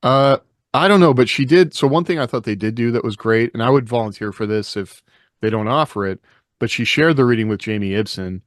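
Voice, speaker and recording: A man's voice: mid-range voice, fairly quick, no room sound, clean studio sound. A man co-hosting a podcast in casual chat, talking in a loose, rambling, conversational way. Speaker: podcast co-host.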